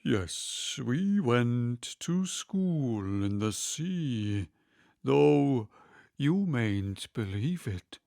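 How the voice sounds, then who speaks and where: A sad, easily offended old-tale turtle for a storybook. The voice is hollow and gravelly, and the words drag out very slowly, with swooping, halting emphasis. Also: male voice, sing-song, a little mellow, no room sound, studio quality, exaggerated voice. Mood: mournful. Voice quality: deep, hollow tone. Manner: very slow, drawn-out, halting delivery. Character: sorrowful, sighing Mock Turtle, slow and irritable. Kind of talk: character voice in storybook reading.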